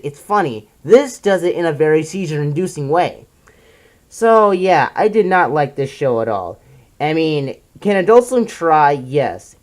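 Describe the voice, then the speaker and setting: A person giving casual, opinionated commentary as a video reviewer, in an exasperated, emphatic ranting manner with drawn-out stressed words. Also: medium pitch. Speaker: video reviewer presenting a countdown list.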